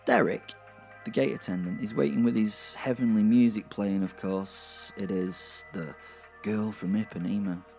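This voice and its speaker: A man's voice: fairly low pitch, a little slow, creaky, warm tone. A man playing a restless, mischievous werewolf, narrating wryly. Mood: sardonic. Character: cocky, restless, mischievous male werewolf killer. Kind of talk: first-person narration in horror comedy audio drama. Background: music.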